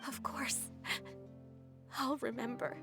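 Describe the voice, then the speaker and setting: A very timid young child with a high voice and slow, halting delivery. The child sounds earnest and pleading, in a video game scene with clear music behind. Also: female voice, steady tone. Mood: tearful, apologetic.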